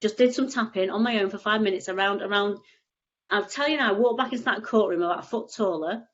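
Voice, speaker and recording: A woman's voice: medium pitch, fast. Woman with a cold, bunged up, chatty and rambling, kinda muffled call audio. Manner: chatty, rambling, frequent restarts. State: has a cold, stuffy nose. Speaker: EFT tapping practitioner, guest. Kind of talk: casual explanatory chat.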